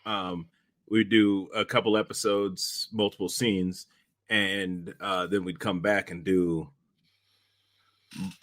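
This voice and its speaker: A man chatting casually about directing, speaking in a halting, rambling way and often repeating himself. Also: sits low, flat delivery, a bit bright, no echo. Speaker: director and podcast host. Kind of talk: casual behind-the-scenes chat.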